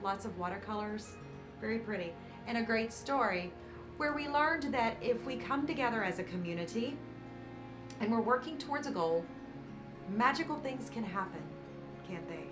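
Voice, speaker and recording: A woman reading a picture book aloud to children as host, with dramatic emphasis and warm, encouraging asides. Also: on the high side, animated, normal speed. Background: background music.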